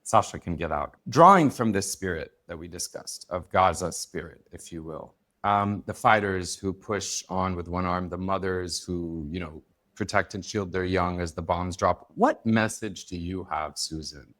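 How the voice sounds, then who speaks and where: A man hosting an interview podcast, asking questions in a measured way with some hesitation. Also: low-pitched, fairly bright tone. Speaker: podcast host.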